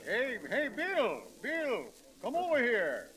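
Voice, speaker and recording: An actor playing a folksy, blustering but good-hearted rancher with an American Western accent, stammering excitedly in old radio drama style. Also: male voice, extremely high pitch, takes it slowly, slightly rough, full character voice. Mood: hearty. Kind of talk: 1930s radio acting.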